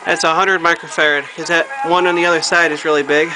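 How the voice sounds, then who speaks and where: A man's voice: on the high side, level tone, fast talker, low-quality recording. A man talking informally and thinking aloud as a hobbyist doing a hands-on electronics repair, filming himself with a handheld camera. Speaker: hobbyist repairing vintage audio gear. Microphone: handheld camera he operates himself.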